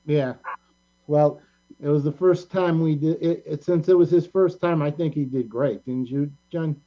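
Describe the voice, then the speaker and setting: Mellow man hosting, on a dull recording. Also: on the high side, moderate pace. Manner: encouraging. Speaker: show host and director. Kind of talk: casual chat with cast. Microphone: online group call. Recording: dull lo-fi call with mains hum.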